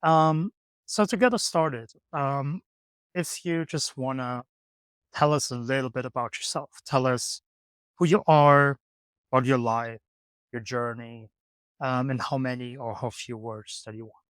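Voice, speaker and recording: Male podcast host, relaxed, halting delivery, mid pitch, dry close sound. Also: clear, resonant tone, no room sound. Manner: easygoing, halting, many "um"s. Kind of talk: casual interview chat. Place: hostel room.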